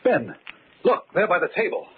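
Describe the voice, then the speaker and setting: A man's voice: sits high, big pitch swings, moderate pace. An actor playing an accused man hunting a murderer in an old-time radio mystery, urgent and earnest with a dry, ironic edge. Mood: tense. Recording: tinny, noisy old radio recording.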